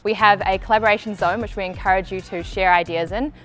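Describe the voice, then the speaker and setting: A woman's voice: medium pitch, fairly even intonation, quick pace, a bit bright, soft background music. An Australian woman narrating a scripted company recruitment video as a presenter.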